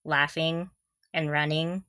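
A young woman with a bright, crisp-edged voice chats casually as a fan podcast co-host. Her talk is giggly and gushing, and the sound is dry, with no room echo. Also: sits low, flat delivery, slow pace.

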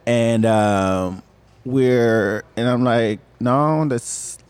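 A man's voice: mid-range voice, very slow, darkish tone, smooth. A man from Senegal telling a ghost story in casual talk, rambling and repeating words as he acts out the dialogue. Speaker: guest storyteller.

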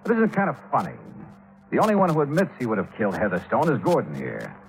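An American actor playing a tough, probing insurance investigator in a 1950s radio drama, clipped and commanding. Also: male voice, mid-range voice, wildly swooping pitch, quick pace, gravelly, low-quality recording. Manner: clipped, commanding, hard-boiled. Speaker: narrator and lead actor. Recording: 1950s radio broadcast recording.

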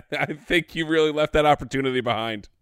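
A man co-hosting and playing a tabletop game, jokey and animated. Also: a little high, normal speed, clear, resonant tone, no echo, clean studio sound. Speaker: player and co-host. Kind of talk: casual tabletop chat.

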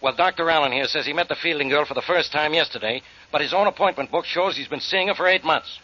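Combative radio cop, highish forceful voice, sarcastic, impatient, dull vintage recording. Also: male voice, fast talker. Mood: sarcastic, irritated. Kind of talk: 1940s radio acting.

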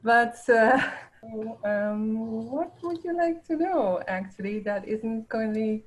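A woman in her seventies from the Netherlands with a Dutch accent, recalling things in a halting way as a podcast guest. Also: a little high, lively intonation. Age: 70s. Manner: halting, with frequent restarts and light laughter. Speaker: guest artist. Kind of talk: casual podcast interview.

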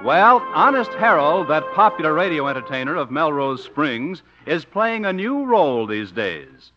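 A man's voice: fairly high pitch, big pitch swings, moderate pace. A man as a polished announcer narrating the scene for a 1950s radio comedy. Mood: upbeat, polished. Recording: dull old radio recording, music.

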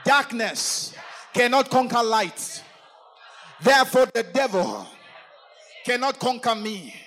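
A pastor leading a congregation in forceful call-and-response declarations, often shouting. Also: male voice, extremely high pitch, very animated, slow pace. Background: music.

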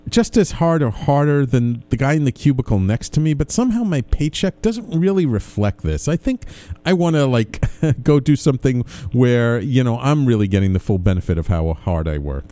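A man's voice: mid-range voice, mellow, no room sound. A man hosting a radio show in a casual, conversational interview style, chatty and rambling as he talks.

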